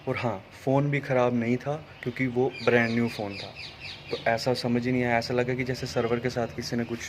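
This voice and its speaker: A man speaks informally, giving a personal account in a medium-pitched voice. The recording is quiet, with faint noise and birds behind him. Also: level tone, brisk. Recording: quiet, slightly noisy recording.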